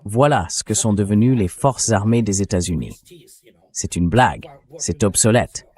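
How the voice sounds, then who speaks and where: A man's voice: fairly low pitch, animated, moderate pace, a little mellow. A man reading a translated voice-over of political and military commentary in a blunt, scornful, conversational way. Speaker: translated voice-over of an interview guest.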